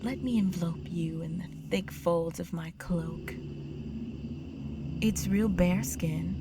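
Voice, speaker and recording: A woman playing a predatory, condescending vampire countess in an intimate audio drama, with a teasing, aristocratic manner and breathy whispers. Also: on the low side, slow, heavy background noise. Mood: mocking, boastful. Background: music.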